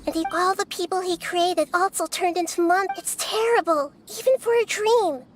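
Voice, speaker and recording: Squeaky excitable little fairy, very high. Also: female voice, moderate pace, loud music behind the voice. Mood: shaken. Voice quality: squeaky, childlike. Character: Paimon, small excitable childlike fairy companion. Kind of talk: video game voice acting.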